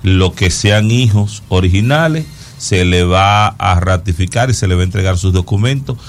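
A man with a fairly low voice and a Dominican accent talks through the news as a show host. He explains in a conversational way and repeats words to press his point. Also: expressive, moderate pace, slight background noise.

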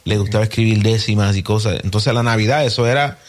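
A man from New Jersey, a musician guest, talking about his family in a relaxed, rambling way. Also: on the low side, fast talker. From New Jersey, United States. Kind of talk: casual interview.

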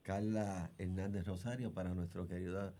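Low-voiced radio host. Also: male voice, flat delivery, normal speed, warm tone. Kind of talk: radio talk show interviewing.

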